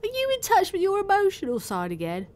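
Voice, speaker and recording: A woman voicing a cocky, excitable male elf, Santa's helper, in a Christmas story. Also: very high-pitched, quick pace, a little hiss or hum, heavily acted. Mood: teasing. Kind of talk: cartoonish character acting in a Christmas story.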